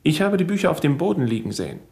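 A man's voice: on the high side, very animated, racing, darkish tone. An actor playing a murdered man's self-assured nephew in a 1970s radio crime drama, formal and assertive. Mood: controlled, polite.

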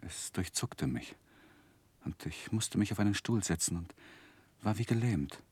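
An actor playing a sensitive gentleman who narrates painful memories in a 1960s radio play, in a measured, dramatic, emotionally weighted way. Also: deep voice, animated, slightly rough, no room sound, exaggerated voice. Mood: sorrowful, haunted.

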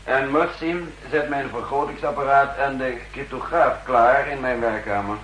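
An actor playing a guarded, authoritative spy chief with a husky voice, clipped and formal, in a 1960s radio play. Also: male voice, medium pitch, normal speed, fairly bright tone, a little hiss or hum, lightly acted, rough recording. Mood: businesslike. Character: authoritative, guarded male spy chief, curt with his servant.

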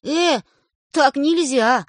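A woman voicing a stubborn, capricious little boy who speaks slowly and hesitantly. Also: sits high, dry recording, studio quality, pitched above the performer's own voice. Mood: defiant.

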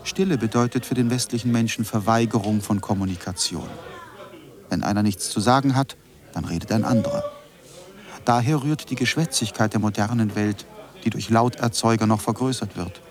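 A man playing a reflective narrator in a scripted radio drama, speaking in measured phrases. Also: lowish voice, close to an everyday voice. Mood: sober, reflective. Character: reflective male narrator reciting essays and studio notes.

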